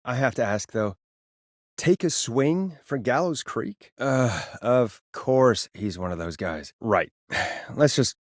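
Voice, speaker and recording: A wry, harried male radio DJ from a video game, recorded tight and dry with no room sound. His mid-pitched voice swings freely up and down, and his delivery is dry-humored and expressive, made for late-night on-air patter. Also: slow pace, no room sound. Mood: sardonic, irritated. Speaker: radio show host.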